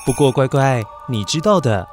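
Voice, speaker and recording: A man narrating a children's story in a gentle, coaxing storyteller manner, addressing the young listener. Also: medium pitch, expressive, normal speed. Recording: background music under narration.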